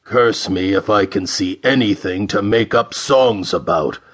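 A man voicing a big, dour Mercurian spaceman in a deep, gruff growl for an audiobook. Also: animated, slow, a bit bright, heavily acted. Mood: grumbling. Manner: dour, grumbling.

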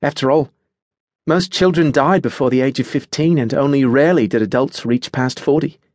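A man voicing a bright, oblivious young woman in an audiobook story, chatty, precise and matter-of-fact. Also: mid-range voice, normal speed, no echo, studio quality, pitched above the performer's own voice, close to an everyday voice. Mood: thoughtful. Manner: cheerful, precise, lecturing, unruffled.

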